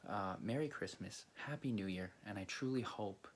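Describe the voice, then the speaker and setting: A man from Greece hosting a video, telling a story casually and haltingly, with frequent pauses mid-sentence. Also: lowish voice, slight background noise. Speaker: video host. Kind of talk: casual storytelling to camera or viewers.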